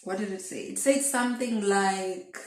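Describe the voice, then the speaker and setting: An echoey room surrounds a young woman with a mid-pitched voice. She talks casually to camera in a confessional vlog style, thinking aloud and wandering from one thought to the next. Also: steady tone, moderate pace. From Zimbabwe. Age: twenties. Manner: rambling, thinking aloud, self-questioning. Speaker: video blogger.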